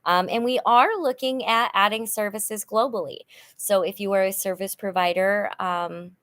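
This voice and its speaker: A woman's voice: medium pitch, steady tone, normal speed, a bit bright, no echo. A middle-aged woman hosting a casual livestream chat, upbeat and chatty, with many fillers and restarts. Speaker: channel host, nonprofit volunteer. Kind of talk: casual livestream chat reading viewer comments.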